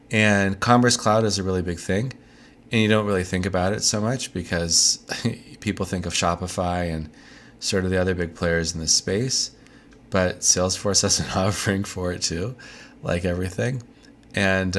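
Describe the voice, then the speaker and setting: A man's voice: low-pitched, slight background noise. A man hosting a casual news roundup, talking loosely with fillers and asides while getting over a cold. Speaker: tech video host. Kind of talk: casual monthly news overview.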